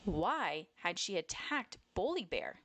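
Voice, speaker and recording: Woman, storybook narrator, slightly low pitch, slow deliberate pace, expressive phrasing, dry recording. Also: no echo. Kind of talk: children's read-aloud, scripted narration.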